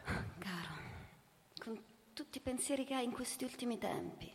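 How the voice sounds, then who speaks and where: A worried, sceptical wife, echoing hall. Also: female voice, fairly high pitch, expressive, gravelly. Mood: exasperated, pleading. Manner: halting, pleading, reproachful. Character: police chief's concerned, sceptical, exasperated wife. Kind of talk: theatrical radio drama acting. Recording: reverberant hall, faint music behind.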